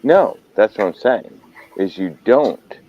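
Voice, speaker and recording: A man's voice: fairly low pitch, animated, slow pace, lo-fi sound. A man hosting a casual live chat, rambling and hesitant as he talks. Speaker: host.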